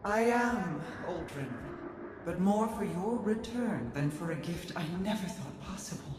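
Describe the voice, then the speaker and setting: A woman's voice: on the low side, lively intonation, a little slow, background noise. A woman playing a cool, regal queen in a video game cutscene, composed, formal and withholding. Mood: stern, measured. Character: regal, cool, guarded older-sister queen. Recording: echoey space, music behind.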